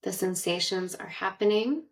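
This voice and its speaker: A woman guiding a meditation with gentle, measured instructions and long pauses, speaking in narration style. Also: medium pitch. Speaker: meditation guide. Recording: roomy echo, distant from mic.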